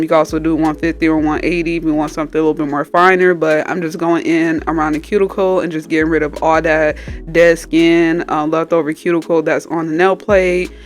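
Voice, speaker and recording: A woman nail technician with a stuffy, slightly nasal voice from a cold, casually explaining each step in a tutorial voice-over. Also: sits low. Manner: chatty, explains each step as she goes. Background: faint background music.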